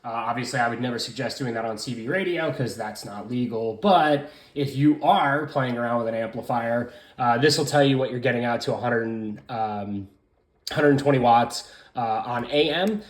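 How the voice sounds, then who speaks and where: A man's voice: medium pitch, moderate pace. A man giving a casual hands-on product review, explaining things in an informal, rambling way as a hobbyist. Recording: roomy, echoing room sound.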